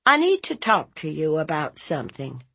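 A woman's voice: deep voice, wildly swooping pitch, moderate pace, gravelly, sharp tone, breathy edge, pitched above the performer's own voice, exaggerated voice. A woman voicing a fierce, blunt, protective aunt in a story, scolding in an emphatic, folksy, interrogating way. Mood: exasperated, concerned.

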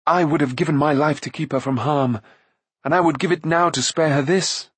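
A man's voice: a little high, brisk, husky, dry recording. A man voicing an earnest, loyal young pig-keeper hero in a fantasy storybook, heartfelt and full of self-doubt. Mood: bitter regret.

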